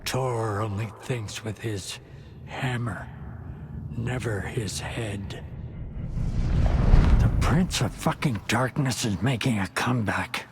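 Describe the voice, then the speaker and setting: A man's voice: lowish voice, noisy background. An actor playing a weary old man who speaks in grand, cryptic, foreboding pronouncements in a horror video game. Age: older.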